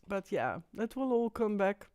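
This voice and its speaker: A Dutch-accented woman talks to her live stream viewers in a loose, rambling way, stopping and restarting her sentences often. Her voice sits at an ordinary middle pitch, and the recording sounds dry and close, with little room around it. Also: very animated, darkish tone, dry recording. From Netherlands. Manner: rambling, halting, with frequent restarts. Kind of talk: casual live stream monologue.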